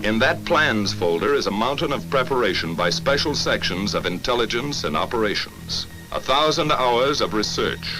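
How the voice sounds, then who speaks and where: A man's voice: mid-range voice, animated, normal speed, few pauses, music in the background, low-quality recording. An American man reading scripted 1940s wartime documentary narration in a clipped, declarative newsreel manner, on a vintage film soundtrack.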